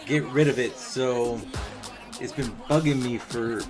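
A young man doing play-by-play commentary over a wrestling video game, chatty and casual between calls and getting excited on the big moves. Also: mid-range voice, a little slow, over music. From Puerto Rican background. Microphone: home microphone, voice-over on game footage.

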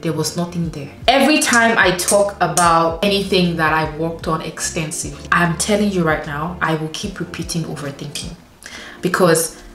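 A young woman in her twenties talks straight to camera in a frank, emphatic way, with a slightly low voice. The room around her echoes, and faint music plays underneath. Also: moderate pace, a bit bright. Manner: frank and emphatic, addresses viewers as sis, laughs easily. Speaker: lifestyle vlogger. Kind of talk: candid direct-to-camera advice. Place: home room indoors. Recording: roomy echo with faint music.

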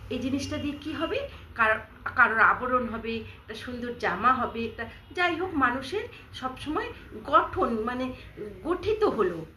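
A woman voicing a small, humble sewing needle who calmly argues her case in a storybook tale. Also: high voice, a little slow, a little hiss or hum, full character voice. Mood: pleading. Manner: pleading, reasoning. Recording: echoey room.

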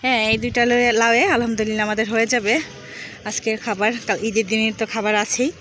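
A woman's voice: a little high, flat delivery, fast. A woman with a Bangladeshi accent chatting casually to viewers as a rooftop garden vlogger, explaining things with some repetition. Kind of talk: casual vlog chat to viewers. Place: open rooftop garden in a city. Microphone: handheld phone behind the camera. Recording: noisy outdoor phone recording.

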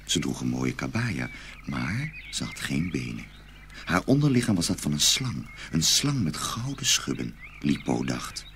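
A man narrating a dark fairy tale in a dramatic storytelling style. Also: a bass voice, big pitch swings, unhurried, vocal fry, slight background noise.

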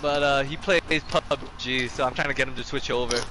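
A man's voice: medium pitch, fairly even intonation, fairly quick, noisy background. A young man bantering loosely and profanely with friends while playing an online game. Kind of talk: casual gaming chat. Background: game music.

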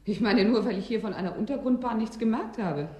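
An actress playing a blunt, questioning woman newcomer in a radio drama, direct, probing and expressive. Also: fairly high pitch, fairly quick, close to an everyday voice. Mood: challenging. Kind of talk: German science-fiction radio drama acting.

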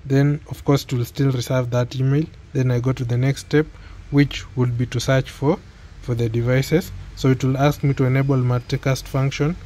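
A man with a Kenyan accent giving an unscripted step-by-step tech tutorial, speaking hesitantly with pauses and repeated phrases. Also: mid-range voice, flat delivery, dark tone. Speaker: product tutorial presenter.

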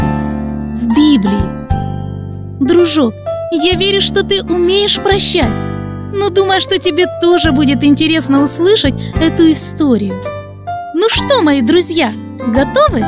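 A woman voicing a kindly, patient little girl puppet who hosts a children's show, gently explaining and peacemaking. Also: high voice. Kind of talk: children's show hosting and storytelling.

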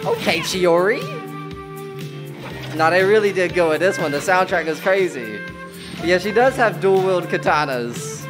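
A man in his twenties giving casual, excited reaction commentary in slangy, playful language. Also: sits high, unhurried, long pauses. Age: 20s. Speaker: reaction video host. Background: music and game trailer audio.

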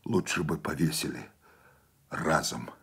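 A man's voice: very deep voice, measured pace, gravelly, a bit bright, slightly rough, heavily acted. A man voicing a condemned village husband, muted and terse, bitter with suppressed despair. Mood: crushed.

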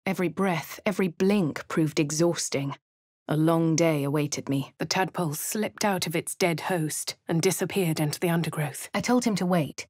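Posh british fantasy game heroine, lowish voice, measured n dramatic, super dry studio sound. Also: female voice, a little mellow, no echo. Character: posh, composed female fantasy adventurer. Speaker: voice actor for a video game player character. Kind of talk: scripted game voice lines and narration.

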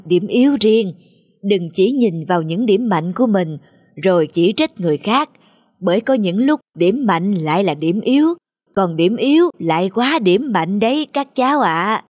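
Casting: wise, kindly old cartoon bear, measured and moralising. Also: male voice, high-pitched, darkish tone, breathy edge, no echo, clean studio sound, exaggerated voice. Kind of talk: cartoon voice acting.

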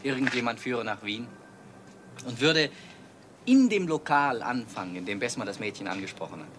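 A man's voice: mid-range voice, very animated, normal speed, a bit bright. An actor playing a rich businessman's talkative, eager nephew in a German crime radio play. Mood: insistent. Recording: old radio play with faint noise.

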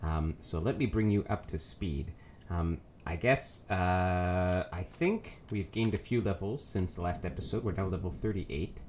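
Low-voiced guy with a cold, sounds nasally, rambling game commentary w lots of ums, faint hiss behind. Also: measured pace, darkish tone. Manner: rambling, many ums and self-corrections. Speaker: let's play host. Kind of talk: casual gameplay commentary.

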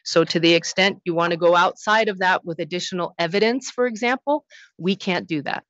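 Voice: medium pitch, a little fast, fairly bright tone, dry recording. Dry, muffled hearing chair. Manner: explanatory, reassuring, filler-heavy. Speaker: commission chair addressing an applicant. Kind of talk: formal hearing procedure.

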